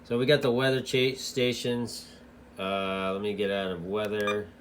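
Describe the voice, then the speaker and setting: A man's voice: on the low side, steady tone, a little slow, slight background noise. A man giving a casual, loosely explained product walkthrough as a radio hobbyist reviewer, in an informal, rambling way.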